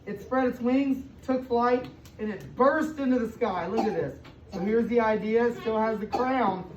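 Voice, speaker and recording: A woman's voice: a little high, normal speed, a little mellow, recorded from a distance. An American woman reads a storybook to young children in an echoey room, some way from the microphone. She stops to explain in simple words and asks questions, leaning on key words. The sound is slightly muffled with faint noise. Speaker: school superintendent reading aloud to children. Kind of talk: interactive picture-book read-aloud to young children. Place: indoor room with children present.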